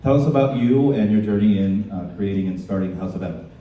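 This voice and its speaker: A man hosting a live panel discussion in a halting, informal way. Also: lowish voice, expressive, dull audio. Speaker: event host and panel moderator. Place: indoor department store event. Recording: roomy echoing event space, distant mic, faint noise.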